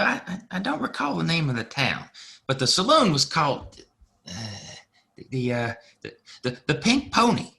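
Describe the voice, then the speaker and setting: A man playing a rambling, excitable saloon regular in an Old West audio play, stumbling over his words. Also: on the high side, vocal fry, heavily acted. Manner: stammering, forgetful rambling. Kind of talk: Western audio play acting.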